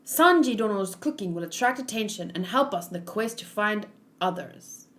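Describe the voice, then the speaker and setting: A woman's voice: medium pitch, animated, moderate pace, a bit bright, lightly acted. A woman voicing an earnest samurai man in a manga, with formal, old-fashioned phrasing.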